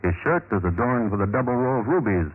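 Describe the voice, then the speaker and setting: A man playing a dry, droll crime connoisseur, narrating a 1950s radio drama in measured deadpan. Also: medium pitch, slightly rough. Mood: wry, deadpan. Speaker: host and narrator. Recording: muffled vintage radio recording, music behind.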